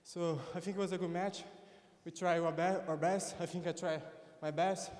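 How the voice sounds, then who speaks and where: A tired, high-voiced man with a Portuguese accent speaks in a post-match interview, halting and restarting as he searches for words. His delivery stays flat and even. The sound carries a long, echoing hall reverb. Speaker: competitor being interviewed. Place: competition arena.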